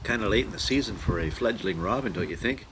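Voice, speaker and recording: A man's voice: lowish voice, animated, fast, noisy background. A man who works as a touring entertainer, narrating his travels in a casual vlog style. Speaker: touring musical entertainer and host. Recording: echoey room, music behind.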